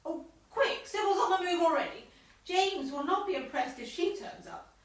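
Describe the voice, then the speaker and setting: A woman's voice: extremely high pitch, lively intonation, moderate pace. A woman playing an arch, wordy, commanding club chairwoman in an amateur radio comedy. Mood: urgent, bossy. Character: bossy, arch chairwoman of a tennis club committee. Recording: very echoey room, far from mic.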